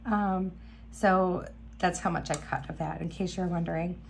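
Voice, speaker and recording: Chatty woman with a gravelly, froggy voice. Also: lowish voice, fairly even intonation, normal speed, a little hiss or hum. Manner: chatty, enthusiastic, talks while working. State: slightly hoarse, clearing throat. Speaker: beading channel host. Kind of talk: casual crafting tutorial. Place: indoor craft table. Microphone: camera above table, hands only.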